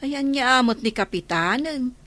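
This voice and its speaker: An actress with an Ilocano Filipino accent playing a brisk, bantering female village councilor in a radio comedy drama. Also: high-pitched, animated, a bit bright.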